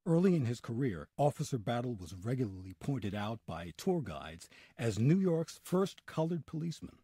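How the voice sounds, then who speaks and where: A man tells history like a radio storyteller, with a husky voice and lively swings in pitch. The delivery is scripted, dramatic and emphatic. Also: normal speed, dry recording, studio quality. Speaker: narrator, storyteller. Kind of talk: scripted old-time radio style narration.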